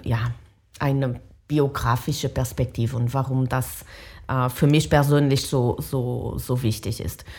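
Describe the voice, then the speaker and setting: A woman with a Portuguese accent speaks in a deep register at an unhurried pace. She answers interview questions reflectively, often pausing to find the right word. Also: a little mellow. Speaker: professor of language education, interview guest.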